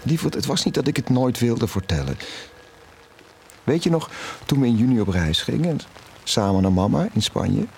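A man acting as a caring, confessional middle-aged father in an audio drama, speaking intimately and haltingly. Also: mid-range voice, big pitch swings, creaky, a little mellow, a little hiss or hum. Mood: anguished, recounting. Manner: halting, repeats words when emotional.

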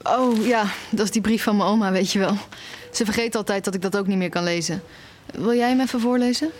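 A woman's voice: medium pitch, brisk, slight background noise, natural voice. Actress as young female music student, nearly blind, anxious and insistent, radio play. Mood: tender. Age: young adult. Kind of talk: audio drama dialogue and narration.

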